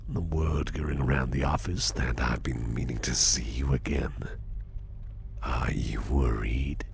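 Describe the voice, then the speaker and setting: Guy acting as a suave boss for asmr, super deep croaky half-whisper, slow n teasing, protective. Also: slight background noise, exaggerated voice. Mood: slyly teasing. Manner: slow, deliberate, softly spoken with repeated phrases. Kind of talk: ASMR roleplay, personal attention.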